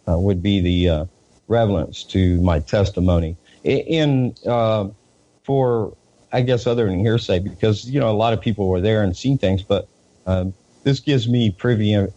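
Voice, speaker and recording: A man with a Southern US accent, a reporter being interviewed, talking in a halting way with many fillers and repeated words. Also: fairly low pitch, warm tone, lo-fi sound. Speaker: independent news reporter, guest. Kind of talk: casual interview talk.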